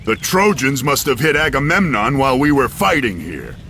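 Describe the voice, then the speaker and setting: An actor playing a plain-spoken ancient soldier in a game cutscene. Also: male voice, mid-range voice, big pitch swings, normal speed, fairly bright tone, slight background noise. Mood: earnest. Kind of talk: dramatic game cutscene acting. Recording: faint background ambience.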